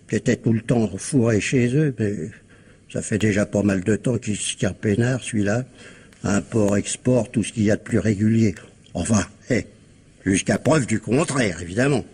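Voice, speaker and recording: A man's voice: fairly low pitch, darkish tone, husky, full character voice. An actor playing a world-weary, sardonic policeman in a radio crime drama, talking in a slangy, clipped, colloquial way. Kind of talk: 1950s-style French radio crime drama acting.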